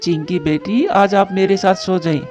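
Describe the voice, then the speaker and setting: A man's voice: high-pitched, steady tone, moderate pace, a little mellow, dull audio, soft background music, natural voice. A man voicing a caring, troubled adult male bird in a cartoon moral story, speaking earnestly and with plain drama. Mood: gentle, sad.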